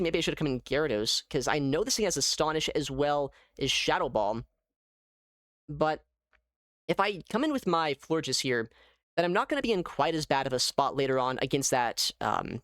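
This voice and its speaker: A man giving casual live gameplay commentary as a streamer, thinking aloud in an animated way. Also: medium pitch, a little fast, no room sound, clean studio sound. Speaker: gaming streamer.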